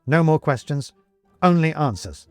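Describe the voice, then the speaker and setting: A man voicing a confused, agitated gentleman who keeps losing his memory, stammering and growing frantic. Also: mid-range voice, very animated, moderate pace, no room sound, faint music behind, voice pushed up, lightly acted. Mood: stern. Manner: stammering, flustered, demanding. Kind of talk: audiobook character reading.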